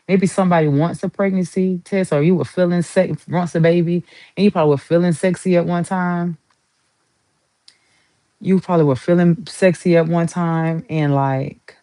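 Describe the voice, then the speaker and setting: A woman's voice: fairly low pitch, mellow, no echo. A woman with a Southern US accent giving a chatty, rambling tarot reading, playful and talkative as she pulls cards. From Southern United States. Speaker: tarot reader.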